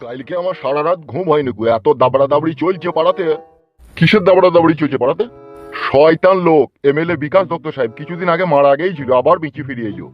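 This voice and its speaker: A man doing a fast-talking quarrelsome village gossip, dull sound. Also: fairly high pitch, close to an everyday voice. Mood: complaining. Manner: teasing, argumentative, slangy. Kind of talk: Bangla comedy cartoon dialogue. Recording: dull lo-fi sound over music.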